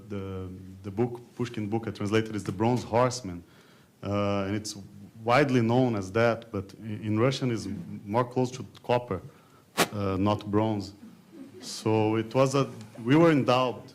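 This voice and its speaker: A man from Brazil with a Brazilian accent and Portuguese as his first language, talking hesitantly as a translator on a panel and often repeating words. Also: lowish voice. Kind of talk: informal panel talk.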